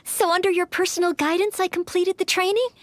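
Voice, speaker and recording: A woman's voice: very high-pitched, fairly even intonation, brisk, no echo. A woman playing an earnest, eager and polite young maid training as a knight in a video game, with a sweet girlish voice. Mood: bashful, earnest.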